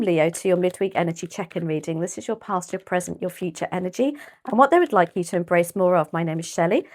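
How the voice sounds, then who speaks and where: A woman with a British accent giving a casual tarot reading, chatty and rambling, her voice a little croaky and often clearing her throat. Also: on the low side. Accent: British English. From United Kingdom. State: slightly croaky voice. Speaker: tarot reader.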